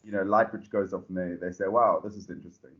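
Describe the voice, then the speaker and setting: A South African man, a startup founder, answering podcast questions in a relaxed, rambling way full of fillers and restarts. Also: sits low, fast talker, muffled recording. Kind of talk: podcast interview.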